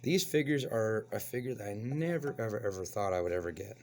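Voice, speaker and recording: A man with a hoarse voice from a cold, hosting a casual toy review and chatting as he unboxes. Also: on the low side, brisk. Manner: relaxed, chatty, explains as he handles the toy. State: hoarse, getting over a cold. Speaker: toy reviewer, channel host.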